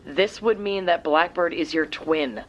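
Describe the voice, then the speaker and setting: An actress playing a matter-of-fact young woman who handles lab and research work, speaking briskly. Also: lowish voice, lightly acted. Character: quick, techy young woman friend doing lab research. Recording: dull, lo-fi sound.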